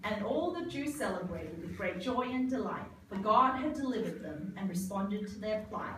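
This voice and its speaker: Casting: female storybook narrator, scripted verse, slightly high, distant in a noisy, very reverberant room. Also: expressive, moderate pace, a bit bright. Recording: very echoey, distant, noisy live hall.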